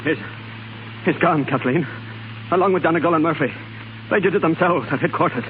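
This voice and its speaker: An actor playing a principled, resolute young Irish rebel leader in a 1930s radio drama. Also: male voice, fairly high pitch, fairly quick, phone-quality sound, lightly acted. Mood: grave, gentle. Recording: thin 1930s radio broadcast, faint noise.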